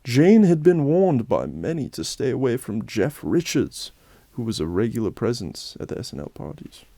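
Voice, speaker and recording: Male narrator, mid-pitched and mellow, solemn dramatic read with satirical edge, dry recording. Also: sing-song, normal speed, gravelly, no echo. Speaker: host and narrator of a Halloween special.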